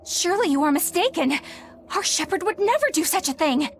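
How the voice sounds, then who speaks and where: High-voiced prim young woman. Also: animated, fairly quick. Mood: disbelieving. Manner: formal, proper. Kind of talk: English video game voice acting. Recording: faint ambient noise behind.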